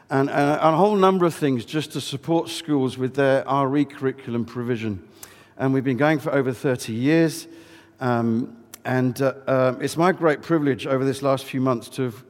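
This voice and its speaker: A man's voice: mid-range voice, darkish tone. Chatty man speaking in an echoing church. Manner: friendly, conversational, with many fillers and restarts. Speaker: guest speaker, charity chief executive. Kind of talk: informal address at a church service. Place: large old church building. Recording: reverberant hall.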